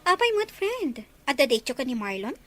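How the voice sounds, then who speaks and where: A woman's voice: high-pitched, sing-song, normal speed. A woman with an Ilocano Filipino accent acting a concerned, helpful friend in an audio drama.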